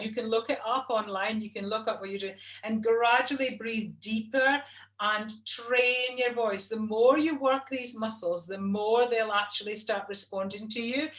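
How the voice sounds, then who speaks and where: A woman's voice: on the high side, a bit bright. A middle-aged woman coaching singers over a video call, explaining technique in a chatty, instructive way. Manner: chatty and instructive, demonstrates hums. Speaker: vocal coach. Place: home room, video call. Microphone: video call webcam.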